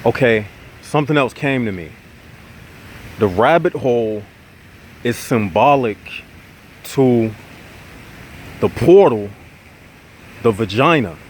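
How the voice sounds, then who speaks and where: A man's voice: medium pitch, slow, long pauses, slight background noise. Preachy man with a stuffy nose. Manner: preachy, animated, addresses viewers as y'all. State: nasal, getting over congestion. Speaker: channel host. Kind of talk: casual monologue to viewers.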